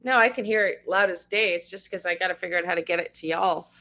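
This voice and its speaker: A middle-aged woman with a drawl hosts a casual livestream, talking straight to her viewers by name. Her voice sits low yet sounds bright and forward, and it comes through a computer mic. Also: fast talker. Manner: chatty, talks to chat viewers by name. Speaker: livestream host. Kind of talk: casual livestream chat, reading news aloud.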